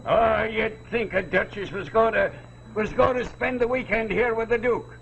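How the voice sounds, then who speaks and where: A sardonic, grumbling workman in a 1940s TV drama. His voice is high and gravelly and his speech colloquial, with faint noise behind. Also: normal speed, fairly bright tone, dull audio. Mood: wry, uneasy. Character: wry, grumbling working-class army labourer. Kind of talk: 1940s television drama acting.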